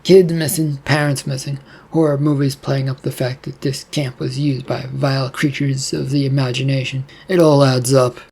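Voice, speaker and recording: Male narrator, steady storybook read, husky gravel in the voice. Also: mid-range voice, moderate pace, hardly any pauses. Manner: plain, steady reading.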